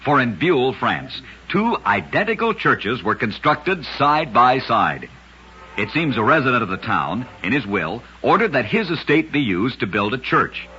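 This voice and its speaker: A man narrating short scripted stories in an old-time radio style, with a dramatic, deliberate storytelling delivery on a vintage recording. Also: mid-range voice, pushed and bright, breathy edge, dull audio. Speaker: radio host and narrator of short true oddities.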